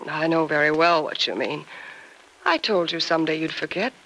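An actress playing a kindly, sensible nurse and old friend in an old radio soap opera, reassuring and gently probing. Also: female voice, deep voice, moderate pace. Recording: noisy vintage radio drama recording.

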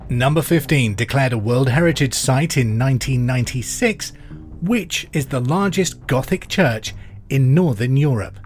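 A middle-aged man hosting a quiz podcast, reading out scripted trivia in a measured announcer's manner. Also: mid-range voice, lively intonation, faint music behind.

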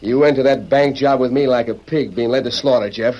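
A man's voice: medium pitch, a little fast, a little mellow, lightly acted. A man playing a ruthless, gloating outlaw leader in an old-time radio western, with a bossy, sneering cowboy drawl. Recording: dull, noisy old radio recording.

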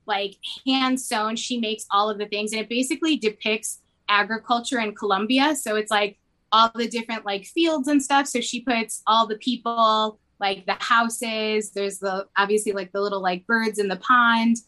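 A woman's voice: a little high, fairly even intonation, normal speed, pushed and bright. A young woman who grew up in Mexico, talking casually in an interview, rambling with lots of "like" fillers. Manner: rambling, heavy use of "like" fillers.

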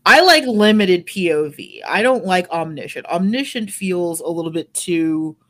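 A woman co-hosting a podcast in a relaxed, self-deprecating way, with the odd light swear word. Her voice sits a little lower than most women's. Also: moderate pace. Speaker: podcast co-host. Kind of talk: casual chat.

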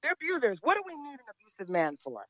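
A woman's voice: sits high, huge pitch swings, fast, sharp tone, dull audio. A woman speaking as an interview guest, blunt and emphatic, repeating her points. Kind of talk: opinionated interview talk.

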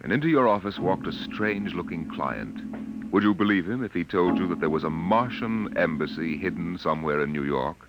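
A man's voice: deep voice, expressive, moderate pace, low-quality recording. A man narrating a scripted preview in 1950s radio style. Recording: old radio broadcast with loud music.

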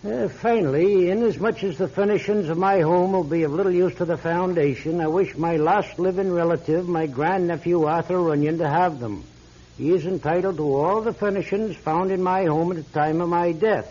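Radio drama lawyer, fussy, formal, hesitant, mellow higher-pitched voice, slightly husky, dull old recording. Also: male voice, moderate pace. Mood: formal, measured. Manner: formal, hesitant, throat-clearing. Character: polite, fussy male lawyer reading a will. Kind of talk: classic radio drama acting.

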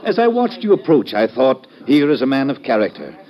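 A man's voice: medium pitch, big pitch swings, a little fast, lightly acted. An actor playing a pompous male street philosopher in an old radio drama, lecturing scornfully in florid, theatrical phrases. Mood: lofty, scornful. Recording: muffled vintage radio recording.

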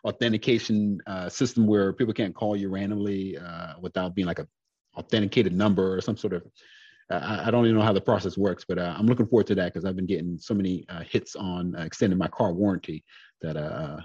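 A man co-hosting a podcast in casual chat, loose and unhurried, with lots of fillers and restarts. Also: low voice, steady tone, a little mellow, no room sound. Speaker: podcast co-host. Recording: dull, dry, husky-sounding mic.

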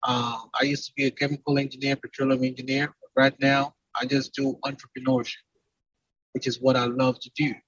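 A man's voice: mid-range voice, flat delivery, fairly quick, fairly bright tone, slightly rough, no echo, dull audio. A Nigerian-accented man speaking as an entrepreneur in a conversational interview, explaining at length with emphatic, repeated lists. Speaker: entrepreneur and company CEO, podcast guest.

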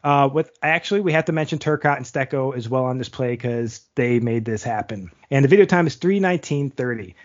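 A man co-hosting a hockey podcast, a goalie, reading play-by-play rundowns of highlight goals and chatting with the others. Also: fairly quick, hardly any pauses, lo-fi sound. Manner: reads plays like sports commentary, then chats loosely.

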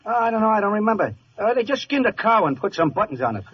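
An actor playing an eager, dim, flattering gangster's henchman in an old radio comedy. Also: high-pitched, fast talker. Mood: dim, chummy. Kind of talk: 1940s radio comedy acting. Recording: muffled, faintly noisy old radio recording.

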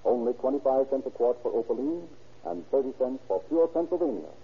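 A man narrating and announcing in formal, declamatory 1930s radio style, with a rough, grainy voice. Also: medium pitch, animated, a little fast, soft background music. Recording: muffled vintage 1930s radio recording.

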